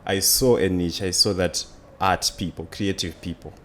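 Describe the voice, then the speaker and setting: A man's voice: sits low, fairly even intonation, normal speed, fairly bright tone, a little hiss or hum. A man in his twenties with a Zimbabwean accent, telling his story conversationally as an interview guest. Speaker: interview guest, student entrepreneur.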